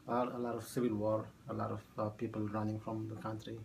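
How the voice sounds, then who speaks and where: A man in his thirties from Ethiopia, a math teacher answering oral history questions in a halting way with many fillers. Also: on the low side, monotone, gravelly, a little hiss or hum, rough recording. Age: 30s.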